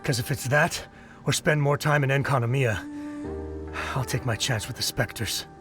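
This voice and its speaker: A man's voice: medium pitch, normal speed, natural voice. A man voicing a wary, grumbling, down-to-earth soldier in a video game, speaking in a dry, casual way. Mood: weary dread. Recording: clear game music behind voice. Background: game music.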